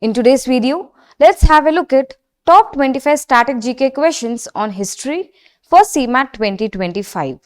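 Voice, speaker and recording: A woman narrating a scripted quiz lesson as an exam prep instructor, in a measured question-and-answer delivery. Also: high-pitched, expressive.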